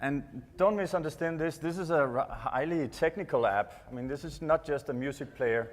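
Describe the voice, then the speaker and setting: A man's voice: a little high, moderate pace. A Danish man with a Danish accent giving a live product presentation in a hesitant, explanatory way. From Denmark. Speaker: tech presenter. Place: large indoor conference stage. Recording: echoey hall PA reverb.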